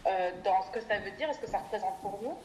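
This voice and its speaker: A woman's voice: medium pitch, fast, a little mellow, reverberant, slight background noise. A woman in her twenties from Belgium, a podcast guest speaking thoughtfully with frequent hesitations. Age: 20s. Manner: thoughtful, many hesitation fillers. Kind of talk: reflective interview conversation.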